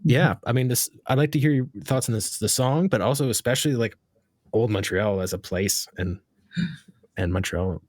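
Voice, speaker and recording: A man's voice: medium pitch, moderate pace, darkish tone, dry recording, studio quality. A man hosting a casual interview, asking long, rambling questions with fillers. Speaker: podcast host.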